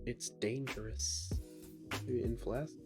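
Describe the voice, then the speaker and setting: A man's voice: mid-range voice, fairly even intonation, slow, loud music behind the voice. A man streaming live code who thinks aloud in a casual way. He talks to viewers between tasks and often trails off and restarts his sentences. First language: English. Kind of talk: live coding stream chat, reading viewer comments aloud.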